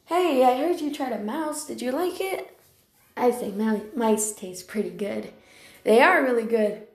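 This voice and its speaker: A woman's voice: on the high side, lively intonation, unhurried. A woman voicing a friendly, welcoming warrior cat in amateur character lines, chatty and encouraging with a newcomer. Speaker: amateur voice actor. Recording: roomy, echoey home recording.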